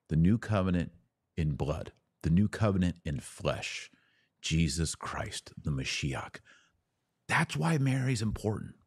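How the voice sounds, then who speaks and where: A man hosting a podcast, giving an emphatic theological teaching talk with rhetorical questions and dramatic pauses. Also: low voice. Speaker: podcast host.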